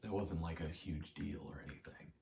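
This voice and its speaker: Very low-voiced man rambling softly in ASMR. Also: fairly even intonation, vocal fry, background noise. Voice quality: soft, low, often slipping into whisper. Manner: halting, self-deprecating, casually sweary. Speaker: ASMR creator. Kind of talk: rambling ASMR, casual personal chat while gaming.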